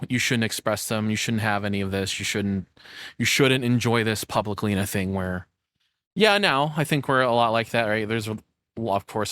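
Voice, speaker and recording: A man's voice: fairly low pitch, a bit bright, no room sound, clean studio sound. A man hosting a reaction video with informal, rambling, unscripted commentary.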